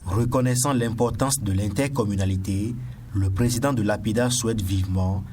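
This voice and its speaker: A man's voice: lowish voice, moderate pace, crowd noise. A man reading a scripted TV news report as the reporter. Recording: noisy voice-over with ambient sound.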